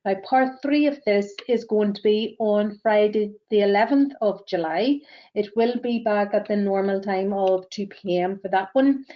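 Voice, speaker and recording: A woman's voice: medium pitch, lo-fi sound. Northern Irish woman presenting a webinar. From Northern Ireland. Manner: measured, with frequent ums and uhs. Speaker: webinar presenter, greenhouse gas verifier.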